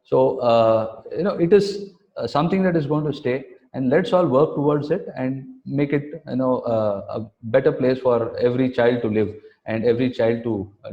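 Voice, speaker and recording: A male panelist giving a webinar talk over a video call, pausing often with fillers. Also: medium pitch, mellow. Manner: hesitant, many fillers. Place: calling in from home by video call. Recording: dull lo-fi call audio.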